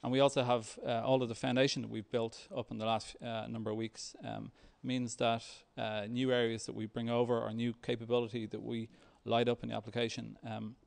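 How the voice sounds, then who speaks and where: A man giving a software talk with a live demo, speaking in a halting, hesitant way. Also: mid-range voice, level tone, creaky. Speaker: software developer presenting at a tech meetup.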